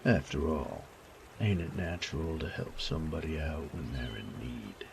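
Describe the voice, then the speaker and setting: Man voicing a deep, gravelly young rogue. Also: lively intonation, normal speed, background noise, heavily acted. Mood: cocky, guarded. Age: young adult. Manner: dry, casual, unpolished speech. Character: low-voiced young rogue commoner, newly made knight, cocky. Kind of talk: audio roleplay drama acting.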